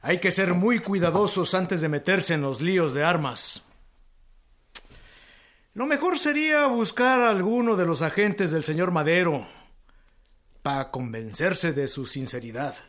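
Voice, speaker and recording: An actor playing a cautious, respectful townsman with a Mexican accent, polite and deliberate, in a radio drama. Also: high voice, animated, a bit bright. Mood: cautious, reasoning.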